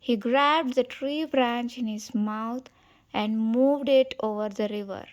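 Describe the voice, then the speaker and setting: A woman reading a children's storybook aloud as an expressive read-along narration. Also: on the high side, slow pace. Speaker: storybook reader.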